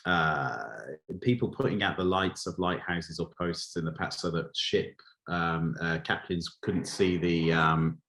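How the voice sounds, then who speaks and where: A man from Wales with a low-pitched voice speaks as a guest in an interview. He is a film director giving conversational answers, often halting and restarting as he searches for the right words. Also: steady tone, fairly bright tone.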